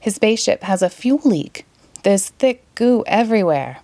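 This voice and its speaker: Storytime reader: woman, Boston accent, expressive and playful, mid-pitched voice, dry close sound. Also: moderate pace, no echo. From Massachusetts, United States. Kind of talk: children's storytime read-aloud.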